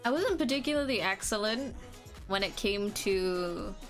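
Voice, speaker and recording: A woman's voice: mid-range voice, animated, a little slow, faint music behind. A young woman, chatting casually on a live stream, rambling, restarting sentences and laughing now and then. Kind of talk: casual chat reading comments.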